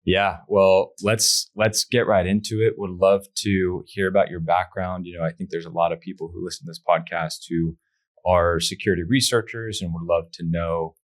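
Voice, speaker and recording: A man's voice: deep voice, steady tone, normal speed. A man hosting a podcast interview who introduces his guest and asks questions in an upbeat, casual way. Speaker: podcast host.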